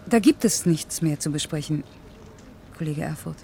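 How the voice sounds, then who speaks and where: A woman's voice: deep voice, lively intonation, moderate pace, vocal fry, mellow, slight background noise. A woman in a German radio play acting a proud, disheartened worker with a curt, guarded way of talking. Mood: reluctant. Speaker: actor.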